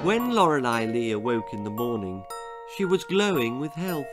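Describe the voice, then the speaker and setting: A man's voice: medium pitch, very animated, moderate pace, loud music behind the voice. A man in his sixties reading a children's horror story dramatically, savouring his words. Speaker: author reading own story. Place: small book-lined room indoors.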